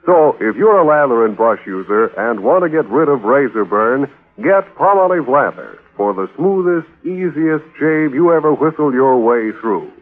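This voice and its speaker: A man's voice: medium pitch, expressive, moderate pace, hardly any pauses, narrow, tinny audio. A man announcing on 1940s radio, delivering scripted copy with polished, emphatic salesmanship. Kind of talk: 1940s radio advertising read. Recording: thin, band-limited 1940s radio.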